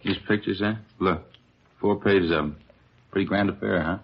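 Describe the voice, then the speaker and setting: An actor playing an informal, inquisitive police detective in a 1950s radio crime drama. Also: male voice, low-pitched, steady tone, normal speed, gravelly. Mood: curious, casual. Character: folksy, curious police detective, sidekick to sergeant. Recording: dull, faintly noisy old radio recording.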